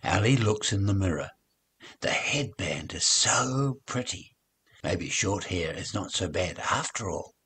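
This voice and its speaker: Older New man, slow husky storyteller. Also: deep voice. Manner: expressive, deliberate phrasing for young children. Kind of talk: children's read-along story narration.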